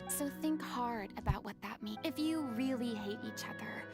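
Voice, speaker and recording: An actress playing a theatrical, earnest young dignitary in a video game, speaking emphatically and articulately. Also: female voice, on the high side, moderate pace, over loud music, lightly acted. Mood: stern, exasperated. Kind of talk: dramatic video game voice acting.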